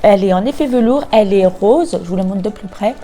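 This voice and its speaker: A woman vlogger chatting casually through an unboxing, nasal and congested from a cold, pausing often with hesitant filler sounds. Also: mid-range voice, dark tone. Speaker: beauty vlogger.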